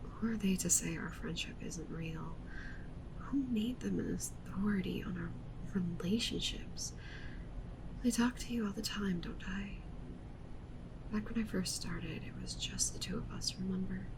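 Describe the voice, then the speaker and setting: A woman playing a clingy, possessive yandere witch streamer in an ASMR roleplay, pleading intimately with the listener and often slipping into breathy whispers. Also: medium pitch, a little slow, noisy background, close to an everyday voice. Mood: insistent, pleading.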